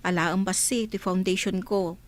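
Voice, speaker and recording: A woman's voice: mid-range voice, fairly even intonation, moderate pace, lightly acted. A woman playing a cheerful, chatty young woman in an audio drama. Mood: bubbly.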